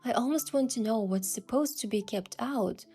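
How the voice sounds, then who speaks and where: A woman voicing a curious young woman posing as a princess, narrating her own story softly in a measured, wistful way. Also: on the high side, breathy edge, soft background music. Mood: soft, descriptive. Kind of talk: scripted first-person story narration with acted dialogue.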